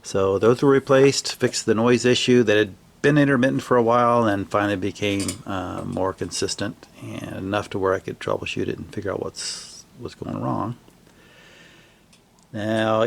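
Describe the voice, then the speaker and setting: A man casually narrating a hands-on repair demonstration in a halting, unscripted way, recorded in a home workshop on a camera mic. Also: fairly low pitch, vocal fry. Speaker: hobbyist repairer presenting his own video.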